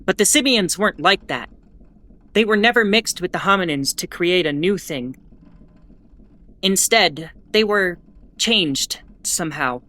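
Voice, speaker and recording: A woman playing a weary, sardonic starship broadcaster in an audio drama, giving history in a deliberate, grudging, pointed way. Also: lowish voice, animated, sharp tone. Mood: grave, explanatory. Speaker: ship's bulletin broadcaster. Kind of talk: in-character educational monologue, audio fiction.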